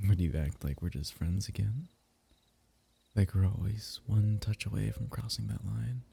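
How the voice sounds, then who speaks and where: A young man speaking softly and intimately in an ASMR roleplay, teasing and sometimes dropping into a whisper. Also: on the low side, steady tone, normal speed, creaky, slightly rough.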